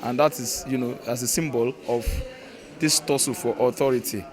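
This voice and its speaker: A man's voice: mid-range voice, steady tone, normal speed. A man with a Nigerian accent explaining something conversationally in an interview. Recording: crowd chatter at a venue.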